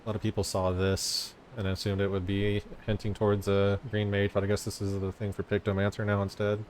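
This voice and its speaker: A man's voice: on the low side, monotone, brisk, a little mellow, slight background noise. A man giving casual, offhand gameplay commentary as a streamer, musing aloud in loose phrases. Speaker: game streamer. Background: prominent game music.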